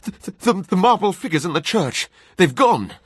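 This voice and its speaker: A man's voice: sits high, big pitch swings, moderate pace, fairly bright tone, husky, dry recording, clean studio sound, voice pushed up, full character voice. Man playing an overwrought, haunted husband, raised voice. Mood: frantic. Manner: intense, dramatic. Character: overwrought, haunted husband narrating his own terror. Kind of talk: acted dialogue in a radio story reading.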